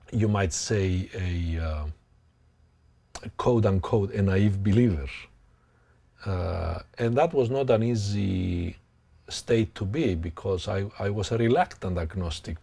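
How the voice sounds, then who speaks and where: A man, a professor thoughtfully recounting his life in an interview, pausing to find words. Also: sits low, creaky, dark tone. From Greek background. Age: 60s. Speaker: sociology professor. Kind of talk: interview, reflective personal account.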